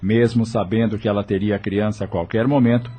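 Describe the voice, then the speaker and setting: A man's voice: lowish voice, steady tone, a little fast, background noise. A man narrating a scripted audio novel in a dramatic storytelling manner.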